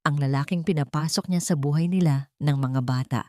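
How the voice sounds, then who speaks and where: A woman's voice: low voice, fairly quick, mellow, no room sound, clean studio sound. A woman narrating a scripted dramatic story as a storyteller.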